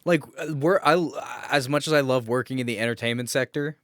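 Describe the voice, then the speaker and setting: A man's voice: medium pitch, brisk, fairly bright tone, no echo, clean studio sound. A man co-hosting a podcast, chatting casually in a wry, informal way with casual swearing. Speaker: podcast co-host. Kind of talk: casual chat.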